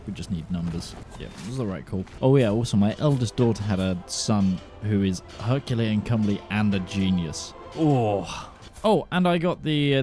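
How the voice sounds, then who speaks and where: A man casually narrating his own strategy game run, thinking aloud and swinging between flat musing and sudden excitement. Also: fairly low pitch. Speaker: gaming YouTuber.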